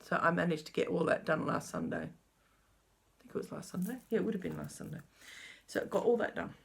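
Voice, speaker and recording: A woman's voice: fairly low pitch, big pitch swings, fairly quick, vocal fry, husky. A middle-aged woman chatting casually through her cross-stitch update, rambling and talking to herself as she checks her notes. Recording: faint background noise.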